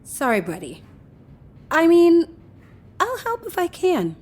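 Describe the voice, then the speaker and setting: A woman's voice: very high voice, wildly swooping pitch, takes it slowly, darkish tone, full character voice. A woman acting as a flippant, unapologetic partner in an audio drama, casual and wry with mock sympathy.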